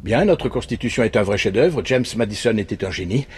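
An actor playing a jaded middle-aged news anchor in a dubbed TV drama, speaking in a biting, emphatic, rhetorical manner. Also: male voice, fairly low pitch, expressive, brisk, darkish tone, slight background noise, close to an everyday voice. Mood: dry, evasive. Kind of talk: dramatic monologue in a dubbed TV series.

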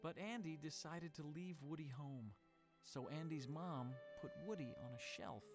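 A man's voice: a little high, moderate pace, over music. A man reading a scripted children's storybook as narrator.

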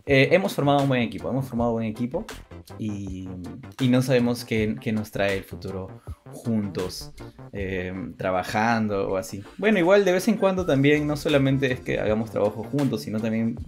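A man's voice: mid-range voice, animated, moderate pace, darkish tone. A young man co-hosting a channel, talking in casual unscripted chat. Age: young adult. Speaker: co-host of a two-person channel. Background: background music.

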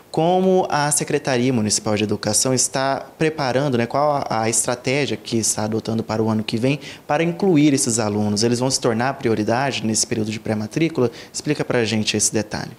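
A young male TV reporter asking formal, prepared questions in an interview, indoors on a handheld mic. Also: mid-range voice.

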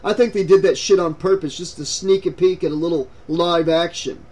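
A man voicing a hot-headed, frightened young man in a story, snapping and shouting in frustration. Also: on the high side, unhurried, natural voice. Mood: indignant.

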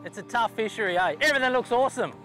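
A man with an Australian accent speaking earnestly about tournament fishing, with halting restarts. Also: very high-pitched, animated, pushed and bright, soft background music, rough recording. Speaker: tournament angler. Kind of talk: reflective interview and tips.

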